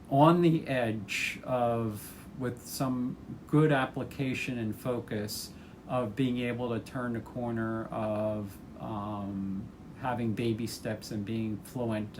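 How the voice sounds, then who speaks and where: A retired man in his sixties, a former chemist, talking casually to the camera about his plans, thoughtful and unhurried, with many ums and restarts. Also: mid-range voice, darkish tone, a little hiss or hum. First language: English.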